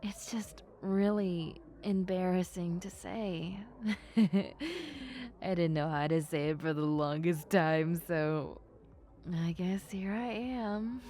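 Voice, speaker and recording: A woman acts out a popular schoolgirl who has had a few drinks, in an intimate roleplay. Her voice sits low, and she drags her words out very slowly. She is coy and teasing, hesitant and prone to giggles. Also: mellow. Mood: tipsy, embarrassed. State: acting drunk. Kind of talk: intimate roleplay monologue to the listener.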